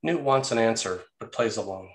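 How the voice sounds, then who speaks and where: A man's voice: lowish voice, expressive, normal speed, low-quality recording. A man reading stage directions as the narrator of a screenplay table read.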